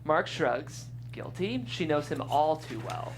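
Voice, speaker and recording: Expressive reader of stage directions. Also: medium pitch, slow, background noise. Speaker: narrator reading stage directions. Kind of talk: script table read narration.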